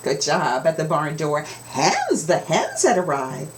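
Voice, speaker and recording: Very animated middle-aged woman reading aloud. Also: deep voice. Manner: theatrical, drawn-out emphasis, addresses children. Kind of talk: animated picture-book read-aloud. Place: small home room, indoors. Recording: clear background room noise.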